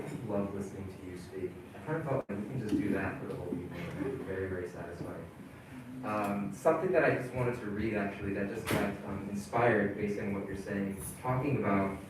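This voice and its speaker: A man's voice: fairly low pitch, far from the mic, background noise. Man speaking in an echoey hall. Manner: halting, with many fillers and restarts. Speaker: community leader presenting at a town hall. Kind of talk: casual spoken presentation.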